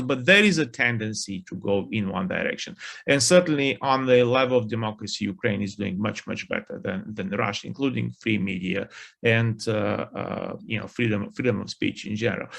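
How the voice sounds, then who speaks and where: A man in his forties, a media lecturer, asking a long, halting question from the floor with many fillers. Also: medium pitch, vocal fry, fairly bright tone. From Bulgaria. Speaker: audience member, media lecturer.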